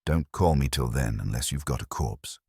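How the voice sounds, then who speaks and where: A man voicing a gruff, grudging police officer with a curt manner in a detective audiobook. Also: very deep voice, moderate pace, mellow, no room sound, clean studio sound, full character voice. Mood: grumbling.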